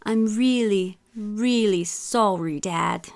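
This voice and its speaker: A woman's voice: mid-range voice, slow pace, darkish tone. A woman voicing a cheeky, guilty young boy in a storybook, exclaiming theatrically. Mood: apologetic confessing.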